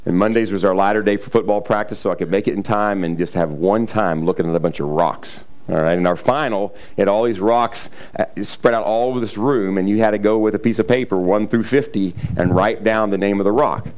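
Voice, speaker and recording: A middle-aged male pastor delivers a sermon in a slightly raspy, somewhat low voice. He speaks casually and conversationally, with plenty of fillers and the occasional throat clearing. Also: fairly quick, few pauses, darkish tone. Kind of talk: sermon with scripture reading.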